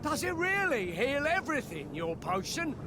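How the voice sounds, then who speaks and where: A man's voice: very high-pitched, big pitch swings, moderate pace, noisy background. An actor playing an eager, credulous townsman buying from a street seller in a video game. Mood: eager, convinced. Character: eager, easily persuaded male townsman customer.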